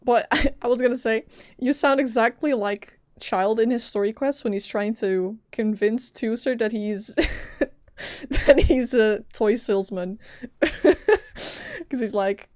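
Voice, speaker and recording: A woman's voice: fairly high pitch, takes it slowly, darkish tone. A young woman giving casual live gameplay commentary, playful and teasing in manner. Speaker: game streamer. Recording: game music behind voice.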